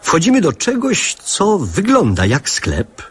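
An actor playing a wistful father narrating his childhood memories in a literary radio play, with a husky voice. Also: fairly high pitch, huge pitch swings, takes it slowly, heavily acted.

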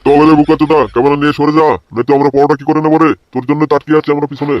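A man's voice: a little high, level tone, very fast, a little hiss or hum, natural voice. A man voicing an impatient, foul-mouthed queue customer in a comedy cartoon. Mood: angry. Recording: dull lo-fi dry voice track.

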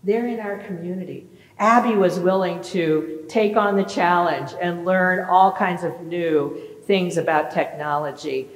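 A middle-aged woman pastor delivers a sermon, lively and direct, often putting questions to her congregation. She is picked up from a distance in a large sanctuary. Long church reverb trails after every phrase. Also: lowish voice, measured pace. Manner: animated, gesturing, asks the congregation questions.

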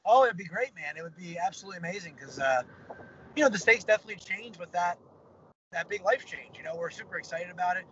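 A man's voice: high-pitched, lively intonation, fast, pushed and bright. A young man in a parked car, speaking on a video call as a fighter being interviewed, upbeat and rambling with many fillers. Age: young adult. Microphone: phone camera on a video call. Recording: slightly dull video-call audio with faint car hum.

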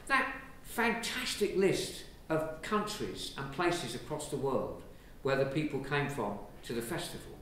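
English priest preaching in an echoey church. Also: male voice, medium pitch, a little slow, few pauses. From England. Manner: lively, conversational preaching with gestures. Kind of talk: sermon. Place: large church, indoors. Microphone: far camera. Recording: very echoey church from far off, faint hiss.

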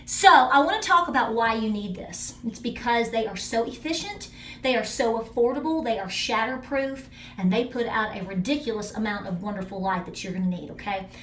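Woman, homestead vlog host, emphatic and chatty, fairly high voice, echoey small bathroom. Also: brisk, fairly bright tone, a little hiss or hum. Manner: chatty, emphatic, talks straight to viewers. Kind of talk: casual product review vlog.

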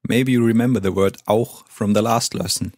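German native guy teaching, lowish voice, patient, dry close mic. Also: steady tone, normal speed, darkish tone, no echo. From German-speaking. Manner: patient, models pronunciation. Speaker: language teacher. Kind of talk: language lesson dialogue.

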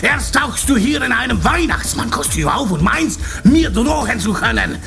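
Nasty pimp boss in horror audio play, super high for a guy, creaky, blustering and aggressive. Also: sing-song, normal speed, bright, forceful tone, faint music behind, full character voice. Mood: threatening. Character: violent male pimp crime boss, cornered and furious. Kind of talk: German horror audio drama acting.

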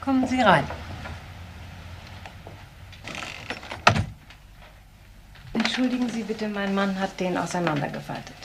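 A woman's voice: mid-range voice, lively intonation, quick pace, gravelly, very noisy. An actress playing a kindly, forthright middle-aged married woman in a crime radio drama, courteous and direct. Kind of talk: naturalistic German crime radio drama acting.

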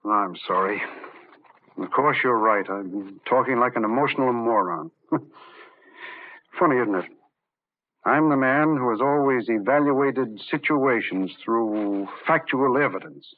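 An actor playing an intense, rational but rattled doctor in an old-time radio drama, speaking urgently and emphatically. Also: male voice, medium pitch, slow pace. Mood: sheepish. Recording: muffled narrow-band old radio recording.

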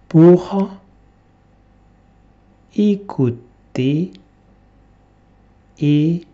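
A man, a French teacher, reading a textbook dialogue aloud one word at a time with long pauses. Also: a little high, mellow. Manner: reads each word separately with long pauses. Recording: dull lo-fi recording.

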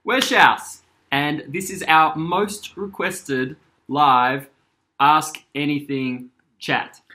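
Aussie guy in his 30s rambling easy, lots of ums, bright tense voice, camera mic across the table. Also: on the high side, extremely slow. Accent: Australian. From Australia. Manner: easygoing, rambling with many fillers. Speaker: musician guest. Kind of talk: casual chat. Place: indoor room by a window.

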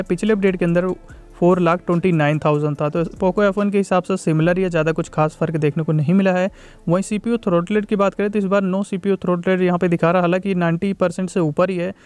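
A man's voice: sits high, fairly even intonation, quick pace, darkish tone, clear, resonant tone, soft background music. A man giving a casual, repetitive tech review voice-over, mixing in English tech terms.

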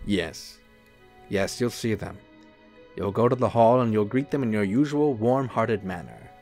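Man playing a calm, lowish-voiced angelic gentleman. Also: measured pace, soft background music. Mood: reassuring. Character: serene, gently persuasive male angel in human guise. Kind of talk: audio drama acting.

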